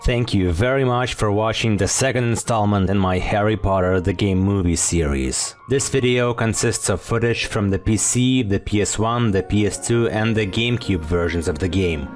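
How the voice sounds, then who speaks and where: Low-voiced man in voice-over, music. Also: normal speed, few pauses. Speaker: video maker. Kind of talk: scripted outro voice-over.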